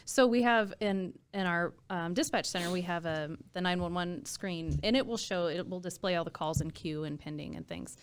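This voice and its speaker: A woman's voice: on the low side, moderate pace, few pauses, slight background noise. An American woman talking casually and earnestly in an interview, with admiration, as an emergency dispatch supervisor. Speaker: emergency dispatch supervisor and trainer, podcast guest.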